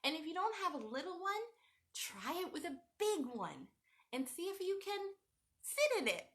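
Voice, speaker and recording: A woman hosting a children's craft lesson at home, talking straight to young viewers in an animated, sing-song way. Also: extremely high pitch, normal speed. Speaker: children's show host. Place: home room with a cat nearby.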